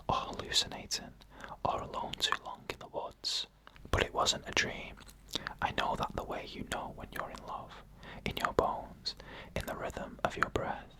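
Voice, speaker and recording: Bright, whispering ASMR storyteller. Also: poor audio. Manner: slow, hushed, deliberate phrasing with pauses. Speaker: ASMR storyteller reading first-person accounts.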